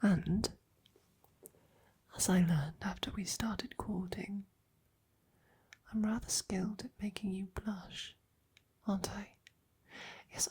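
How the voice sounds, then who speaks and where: A woman playing a devoted, tender and teasing female knight in intimate ASMR roleplay, speaking softly and haltingly, with affection, in a breathy, often whispered voice. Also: mid-range voice. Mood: teasing, flirtatious.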